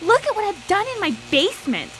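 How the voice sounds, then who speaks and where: Driven perfectionist woman inventor, talking to herself. Bright, highish voice, intense and deliberate, music beneath. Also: big pitch swings, heavily acted. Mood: anxious, determined. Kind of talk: radio drama monologue.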